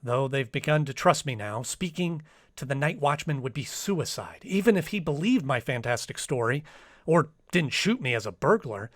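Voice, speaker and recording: A man's voice: mid-range voice, lively intonation, moderate pace, no room sound, studio quality, natural voice. A man playing an earnest, anxious trapped diarist in an old-time radio drama, speaking uneasily. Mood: uneasy, confiding. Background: music.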